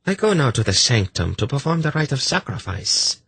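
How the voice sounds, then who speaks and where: A man voicing a cruel, imperious and suspicious high priestess in a fantasy audiobook, with cold, menacing, sarcastic delivery. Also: very animated, a little fast, vocal fry, a bit bright, breathy edge, no echo, heavily acted. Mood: coldly commanding. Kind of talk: fantasy audiobook character acting.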